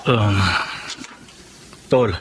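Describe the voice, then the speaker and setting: An actor playing a supportive, inquisitive young best friend in a radio drama. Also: male voice, lowish voice, fairly even intonation, extremely slow, slight background noise. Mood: questioning.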